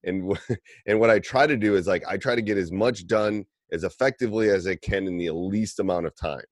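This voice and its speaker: A man with a very deep voice, a basketball coach, chatting casually and relaxed, with frequent "like". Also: brisk, no echo, clean studio sound. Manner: relaxed, says "like" often.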